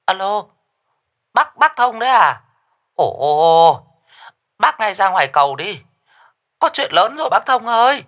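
A man's voice: sits high, big pitch swings, unhurried, long pauses, fairly bright tone, dull audio, pitched above the performer's own voice, heavily acted. A man voicing a panicked older village woman who stammers out bad news over the phone. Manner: frantic, stammering.

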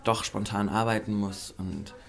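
Troubled male interviewee, lowish voice, halting, hesitant, realistic documentary-style drama. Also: fairly even intonation, vocal fry, a bit bright. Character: troubled male interviewee recounting his partner's betrayal. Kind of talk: naturalistic interview testimony.

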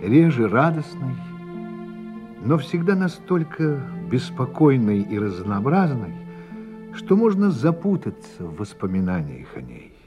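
An actor narrating a radio play as a man looking back on his life, in a slow, reflective manner that falls to a hush at the ends of phrases. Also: mid-range voice, big pitch swings. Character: adult man recalling his youth, reflective and grave. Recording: studio narration over music. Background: music score.

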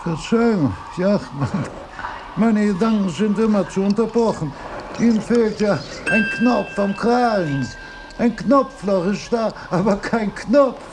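An older man playing an elderly, opinionated philosopher in a radio drama, speaking in a halting, pedantic and emphatic way. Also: high voice, animated, noisy background. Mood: fussy.

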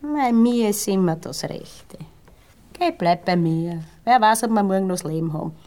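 An actress playing a coaxing young Viennese prostitute in colloquial dialect, in a 1960s radio play. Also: female voice, mid-range voice, expressive, moderate pace, mellow, close to an everyday voice. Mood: coaxing, eager. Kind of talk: 1960s German-language radio play acting.

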